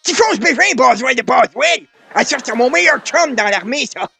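An actor playing a folksy old grandpa in a French radio comedy, with a raspy voice and a colloquial Quebec French accent full of exclamations. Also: male voice, very high voice, animated, normal speed, sharp tone, no room sound, faint music behind, heavily acted. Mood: amazed, excited. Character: folksy excitable old grandpa, war veteran.

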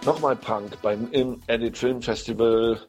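A man reviewing films on the radio in an unscripted, halting way, searching for words. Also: medium pitch, fairly even intonation, over loud music. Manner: halting, hesitant delivery with searching pauses. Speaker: film critic on a radio show. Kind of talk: unscripted radio film recommendations.

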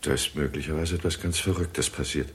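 An actor playing a brisk, courteous leading astronomer in a 1960s German radio drama. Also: male voice, very deep voice. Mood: absorbed, puzzled. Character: Doctor Marlow, brisk leading astronomer at observatory. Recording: 1960s radio recording with background noise.

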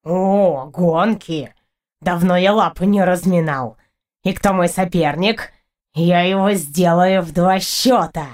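A woman's voice: fairly low pitch, slow pace, a little mellow, pitched below the performer's own voice. A woman voicing a boastful, grumpy talking male wild cat in an audiobook, with a cocky, grumbling delivery. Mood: boastful, commanding.

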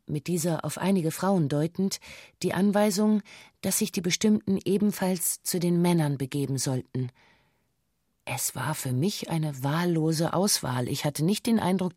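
A female bank employee voiced by an actress delivers a formal witness statement in a radio play. Her voice sits fairly low and she stays precise and matter-of-fact. The recording is close and dry, with no room around it. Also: warm tone, no echo, close to an everyday voice. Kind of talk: scripted radio play monologue in stiff police-statement language.

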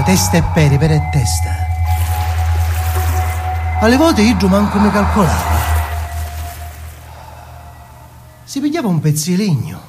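An actor playing a simple, tender, excitable boy in a theatrical radio monologue, with a Sicilian accent, moving between hushed recollection and shrill outbursts. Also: heavily acted. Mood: reflective.

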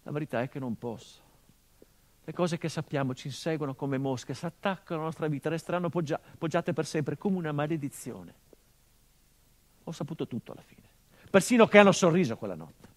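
An actor playing a middle-aged son haunted by his father's murder, in an intimate, brooding theatrical monologue for radio. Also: fairly high pitch. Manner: intimate and brooding, swelling into anguished outbursts. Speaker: stage actor.

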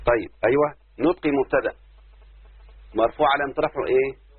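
A man with an Egyptian accent, a sheikh teaching Arabic grammar, explaining slowly and asking his listeners questions as he goes. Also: medium pitch, husky, dry recording. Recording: tinny phone-like line, dry.

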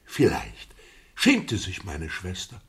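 An actor playing a mild, simple-hearted gentleman in a period radio play, speaking in a hesitant, deliberate manner. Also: deep voice, huge pitch swings, gravelly, heavily acted. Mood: musing.

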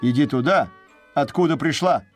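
A man's voice: fairly high pitch, normal speed, no echo, soft background music, close to an everyday voice. A man voicing a proud, fickle crane courting in a folksy, courtly way in an animal tale. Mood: huffy, dismissive. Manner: folksy, courtly, expressive intonation.

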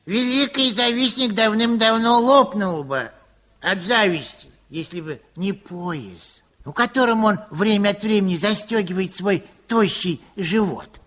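A male actor playing a kindly, eccentric pharmacist who schemes excitedly, stammering and repeating himself in an old radio play. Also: sits high, unhurried. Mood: scheming, eager. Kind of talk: 1960s Soviet radio play acting. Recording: old radio drama with music.

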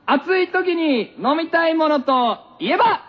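Very high-pitched, lively man in his thirties. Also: unhurried. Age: 30s. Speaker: radio show co-host reading quiz topics. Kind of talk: casual quiz-game banter. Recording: muffled, band-limited radio recording.

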